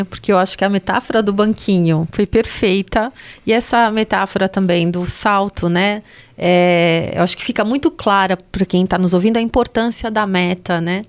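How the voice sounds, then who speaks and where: A woman from the Baixada Santista region answering as an interview guest, explaining things in a measured, orderly way. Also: mid-range voice, fairly even intonation. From Baixada Santista, Brazil. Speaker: interview guest, local public servant.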